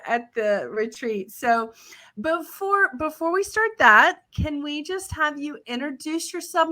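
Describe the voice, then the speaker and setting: A woman's voice: on the high side, sharp tone. A woman hosting a travel podcast, talking with a guest in a casual interview over a video call, upbeat and chatty. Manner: upbeat, chatty, laughs easily. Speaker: podcast host.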